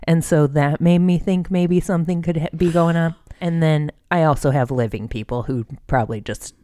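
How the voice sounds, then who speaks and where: A woman's voice: low-pitched, normal speed, dark tone, no room sound, clean studio sound. An American woman co-hosting a podcast, chatting and telling stories in an informal, jokey way. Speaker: podcast co-host. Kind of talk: casual chat and storytelling.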